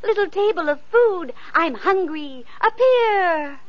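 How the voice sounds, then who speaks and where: A woman playing a gentle, kind young girl in a vintage radio play, speaking sweetly and coaxingly. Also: very high voice, lively intonation, takes it slowly, very noisy, full character voice. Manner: sing-song, sweet and coaxing. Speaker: radio actress. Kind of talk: 1940s radio acting. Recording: muffled old radio recording.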